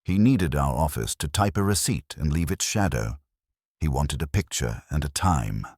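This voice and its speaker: A man's voice: a bass voice, expressive, moderate pace, a little mellow, no echo, clean studio sound, full character voice. A man voicing an imperious, eccentric master detective in an audiobook, with formal, pompous, precise speech. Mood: measured, commanding. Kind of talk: acted dialogue in a detective audiobook.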